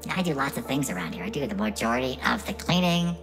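Indignant pint-sized dragon servant, played by a man: blustering, whiny, sweary, widely swinging pitch, tabletop fantasy game over music. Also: very animated, quick pace. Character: indignant, mouthy little male pseudodragon servant, sweary. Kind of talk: tabletop roleplay.

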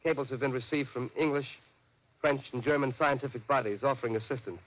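An actor playing a serious, formal news announcer reading emergency bulletins in 1930s radio drama style. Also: male voice, medium pitch, fairly even intonation, fairly quick, natural voice. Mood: grave, urgent. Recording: dull vintage 1938 radio recording.

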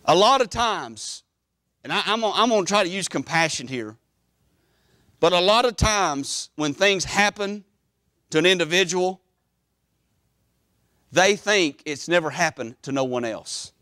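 A middle-aged man preaching an emphatic, animated church sermon, repeating phrases and pausing for weight. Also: sits high, dry recording, studio quality. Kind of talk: Baptist sermon. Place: church sanctuary, preaching from the platform.

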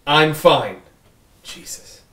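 Man playing a sarcastic, weary detective, bright voice. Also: on the high side, lively intonation, drawn-out words, exaggerated voice. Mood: weary, irritable. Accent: American. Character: gruff, sarcastic, weary male police detective. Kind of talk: table read of a film script. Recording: roomy distant mic, faint noise.

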